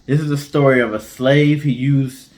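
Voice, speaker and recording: A man's voice: mid-range voice, little pitch movement, moderate pace, a little mellow. A man co-hosting, presenting and telling stories in an informal, casual style. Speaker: co-host.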